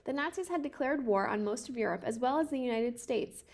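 A woman in her twenties reading a children's picture book aloud in a careful, measured way. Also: fairly high pitch, lively intonation, darkish tone. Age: 20s. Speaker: storybook reader.

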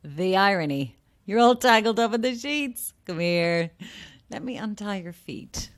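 A woman's voice: medium pitch, expressive, a little slow, close to an everyday voice. A blithely unhinged, macabre woman, played by an actress in an audio horror comedy. She is arch and flippant about morbid things, her intonation swinging widely. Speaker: actor in an audio drama.

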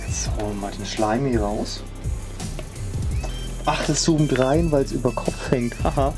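Low-voiced chatty woman reviewing gear. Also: unhurried, music in the background. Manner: rambling, chatty, hedging with "ne?" and fillers. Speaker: tech channel host. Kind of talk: casual product review vlog. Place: indoors at home, moving between rooms.

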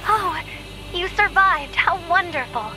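A woman voicing a courteous, gentle male machine who leads a peaceful village in a video game. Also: very high voice, expressive, slow pace, heavily acted, poor audio. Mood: delighted, polite. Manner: polite, formal, mild. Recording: loud music behind.

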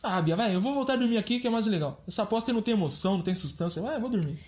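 A woman's voice: medium pitch, lively intonation, racing, a little mellow, muffled recording, natural voice. A woman voicing a goofy, cheeky, dim young boy in a Brazilian children's cartoon, whiny and clownish. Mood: sleepy, grumbling. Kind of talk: children's cartoon comedy dialogue.

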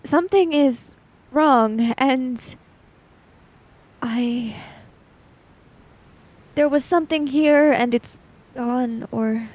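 A woman voicing a frantic, guilt-ridden young woman in a tabletop game, halting and stammering desperately. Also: on the high side, darkish tone, exaggerated voice.

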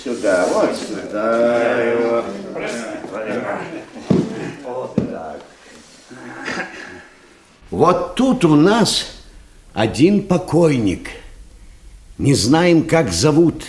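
Jeering soldier interrogator, radio drama, very slow, wildly animated pitch. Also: male voice. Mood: mocking, probing. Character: callous, jeering soldier questioning over a corpse. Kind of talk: Soviet radio drama acting.

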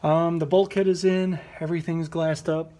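A man in his thirties giving a relaxed, rambling walkaround of a boat project in a large workshop garage, filmed on a handheld phone, with many fillers and restarts. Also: fairly high pitch, moderate pace. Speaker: channel host. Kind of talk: casual walkaround vlog. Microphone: handheld phone camera close up.

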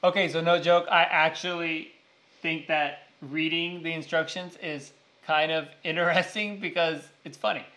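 A man's voice: on the high side, fairly even intonation, normal speed, bright, forceful tone. A man in his thirties, a homestead vlogger, chatting casually and joking while he works in his home kitchen. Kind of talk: casual chat.

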